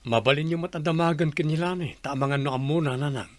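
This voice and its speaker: A man's voice: on the high side, quick pace, dry recording, lightly acted. A man playing a reluctant, doubtful village husband in a radio drama, muttering his replies. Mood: doubtful, dismissive.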